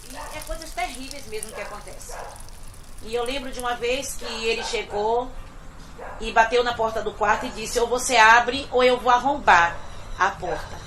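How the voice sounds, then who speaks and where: A middle-aged woman from Bahia, a domestic worker, giving an earnest, reflective personal testimony that often trails off quietly. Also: fairly high pitch, moderate pace, crowd noise, poor audio. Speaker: domestic worker telling her story.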